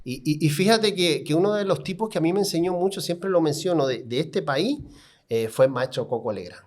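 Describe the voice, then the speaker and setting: A man from Cuba, about fifty, co-hosts a casual podcast. His voice sits a little high for a man. He tells his story playfully, teasing himself as he goes. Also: brisk, a little mellow. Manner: joking, self-mocking storyteller. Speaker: podcast co-host.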